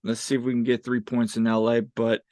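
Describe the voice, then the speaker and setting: A man's voice: mid-range voice, completely flat delivery, a little fast, darkish tone, no echo. A young man co-hosting a sports podcast in a casual, rambling way, with frequent restarts and fillers. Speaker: podcast co-host.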